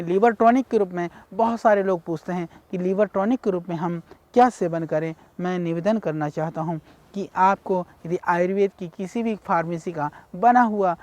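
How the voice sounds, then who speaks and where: A man from India giving a measured, explanatory health talk on ayurveda, speaking directly to viewers. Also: sits high, a little mellow. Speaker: ayurveda teacher.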